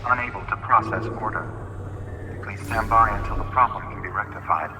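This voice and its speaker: A man's voice: on the low side, brisk, close to an everyday voice. A man voicing an automated order terminal in a video game, giving formal, repetitive error announcements. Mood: impersonal.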